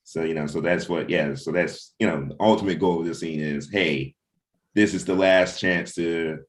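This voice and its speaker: Very deep-voiced man talking casually. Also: steady tone, normal speed. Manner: rambling, thinks aloud with frequent repeats. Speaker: comic book writer. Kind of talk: casual creative discussion.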